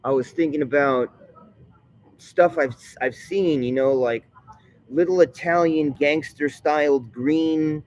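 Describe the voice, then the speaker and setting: Rambling, halting man vlogging. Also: fairly high pitch, a little mellow, poor audio. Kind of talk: casual monologue.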